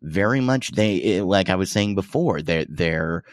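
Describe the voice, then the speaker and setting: A man hosting a podcast interview, talking in a halting way with many fillers and restarts. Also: sits low, animated, no echo, clean studio sound. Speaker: podcast host. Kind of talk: conversational interview.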